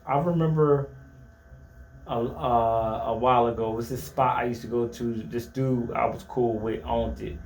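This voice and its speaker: A man's voice: medium pitch, fairly even intonation, moderate pace, darkish tone, reverberant, a little hiss or hum, low-quality recording. A man co-hosting a casual sports chat show, giving opinionated takes with lots of stammered restarts.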